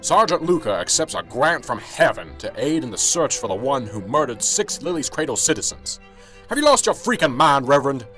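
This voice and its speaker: A man acts a gruff, stressed police sergeant in a radio drama. He is blunt and sarcastic, with lively shifts in pitch over music. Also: brisk, rough recording. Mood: sarcastic, exasperated.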